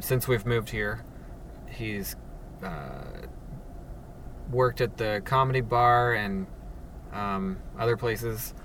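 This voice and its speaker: A man hosting a casual solo podcast from his parked car, speaking in a monotone with many halting fillers and restarts. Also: medium pitch, distant mic, slight background noise. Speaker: podcast host. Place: sitting alone in a parked car.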